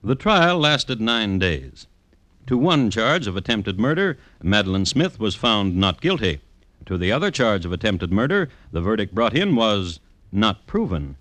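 An actor playing an urbane, droll crime connoisseur who narrates old murders in a deliberate 1950s radio style. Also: male voice, on the low side, big pitch swings, a bit bright, dry recording. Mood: dry, deliberate. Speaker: host and narrator.

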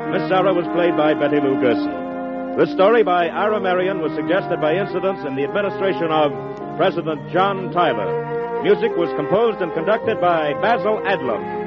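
High-pitched American male announcer over loud music. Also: animated. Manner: formal, measured. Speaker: radio credits announcer. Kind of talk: 1940s radio credits announcing. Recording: muffled old radio recording, loud music.

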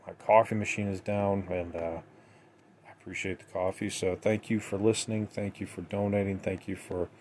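A man hosting a solo podcast, talking casually and haltingly, speaking straight to his listeners. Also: low-pitched, level tone, background noise. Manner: conversational, halting, addresses listeners directly. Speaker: podcast host.

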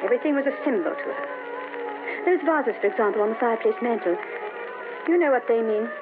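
Mysterious, high-voiced ominous woman. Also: animated, fast, music in the background. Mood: knowing, eerie. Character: mysterious, ominous woman acquaintance hinting at deadly secrets. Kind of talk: old time radio horror drama acting. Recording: tinny old radio recording.

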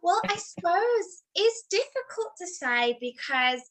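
High-pitched woman guest, really bright tone, blunt, laughs a lot while she talks. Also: sing-song, unhurried, rough recording. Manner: blunt, laughs often between thoughts. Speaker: interview guest, adult performer. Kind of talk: casual interview chat.

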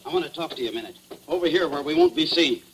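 An actor playing a tough, secretive undercover police operative who talks in slang in a 1940s radio drama. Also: male voice, on the high side, huge pitch swings, rapid-fire, gravelly, full character voice, poor audio. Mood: furtive, slangy. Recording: hissy old radio recording.

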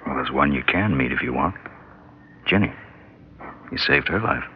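An actor playing a steady, reassuring hospital doctor in a 1960s radio drama, talking briskly. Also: male voice, rumbling low, a little hiss or hum. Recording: dull old radio recording.

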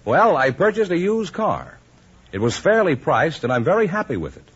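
An American man with a husky voice reading scripted sponsor copy in 1950s radio advertisement style. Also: a little high, sing-song, normal speed. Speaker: announcer reading a sponsor letter. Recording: muffled old radio recording.

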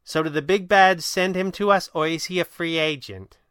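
Man voicing a cheeky, brash crimefighting woman, brightly pitched. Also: moderate pace, clear, resonant tone, no room sound, clean studio sound, lightly acted. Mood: teasing, eager. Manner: sardonic, slangy, wheedling. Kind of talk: audio drama character voice in narrated reading.

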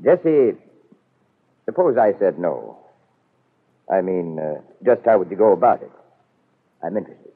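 An actor playing a proud, wily middle-aged businessman in a 1940s radio soap, husky, wry and measured. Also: low voice, big pitch swings, exaggerated voice. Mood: wary, defiant. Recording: muffled old radio broadcast.

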